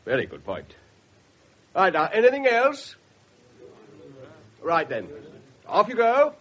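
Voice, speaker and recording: An actor with an English accent playing a brisk, sarcastic, overworked police detective inspector in a radio drama, curt in manner. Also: male voice, high-pitched, huge pitch swings, fairly bright tone, heavily acted. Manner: sardonic, curt. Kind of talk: 1970s radio drama acting. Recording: slightly muffled 1970s radio broadcast.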